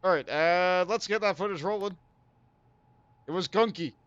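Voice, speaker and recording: A man's voice: high-pitched, moderate pace, fairly bright tone, clean tone, no echo, highs cut off. A young man hosting a casual gaming stream, rambling and laughing often as he plays. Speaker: streamer host.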